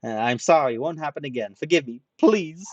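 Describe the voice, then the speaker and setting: A man reading a story aloud, voicing a loud, boastful young man who picks fights and brags. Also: medium pitch, lively intonation, no room sound, muffled recording, natural voice. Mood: panicked groveling. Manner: shouting, bragging, quick to pick fights.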